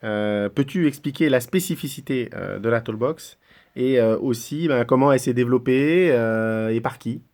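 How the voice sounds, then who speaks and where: A man interviewing a guest, putting prepared questions in measured, somewhat hesitant phrasing. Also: medium pitch, darkish tone.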